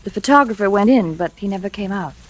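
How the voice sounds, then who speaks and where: An actress playing a polite, formal young nurse or secretary in a 1940s radio drama. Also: female voice, medium pitch, expressive, fast, no echo, natural voice, poor audio. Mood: reporting dutifully.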